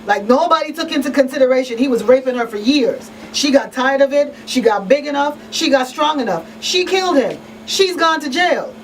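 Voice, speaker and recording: A woman's voice: sits high, expressive, a little fast, hardly any pauses. Woman, casual panel discussion, outspoken, emphatic, repetitive phrasing, away from mic, light background noise. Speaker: talk show panelist. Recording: distant mic, faint room noise.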